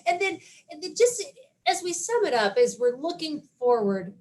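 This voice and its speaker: A woman with a somewhat high voice hosts a casual interview. She teases her guest playfully and keeps the talk light. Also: very animated, moderate pace, darkish tone. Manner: playful, teasing. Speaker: interview host.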